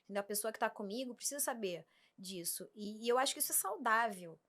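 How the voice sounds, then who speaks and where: A woman's voice: on the high side, expressive, fast talker, fairly bright tone. A middle-aged woman with a cold, a podcast guest talking frankly and emphatically in casual chat. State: has a cold.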